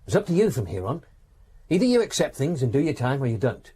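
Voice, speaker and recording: Patronising prison official, lively pitch. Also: male voice, husky. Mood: stern, advising. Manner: patronising, measured authority, probing questions. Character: male prison official, patronising, exasperated, coldly reasonable. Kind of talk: radio drama acting.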